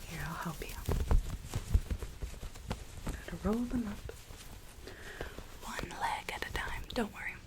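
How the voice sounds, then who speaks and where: Woman acting a whispering, quietly sinister storybook Alice. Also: medium pitch, natural voice. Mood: coaxing, scheming. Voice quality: breathy whisper. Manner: hushed, slow, coaxing, quietly sinister. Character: whispering mad Alice, conspiring captor seeking her true self. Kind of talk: ASMR roleplay.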